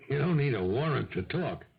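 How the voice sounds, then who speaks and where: An actor playing a wry, unflappable police detective with a dry, insinuating manner, in 1970s radio drama style. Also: male voice, mid-range voice, very animated, normal speed, vocal fry. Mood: wry, probing. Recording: muffled old radio recording, faint noise.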